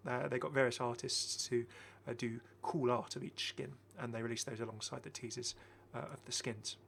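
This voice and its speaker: A man's voice: mid-range voice, fairly even intonation, normal speed, gravelly, a bit bright, slight background noise. Chatty, enthusiastic man. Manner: chatty, enthusiastic, repeats phrases. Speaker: gaming video host. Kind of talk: casual game skin showcase commentary.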